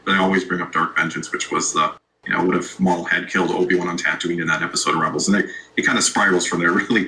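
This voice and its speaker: A man's voice: low-pitched, slight background noise, poor audio. A man who makes online videos, guest in a casual interview, talking loosely with many restarts. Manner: relaxed, rambling, many restarts. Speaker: YouTube creator, guest.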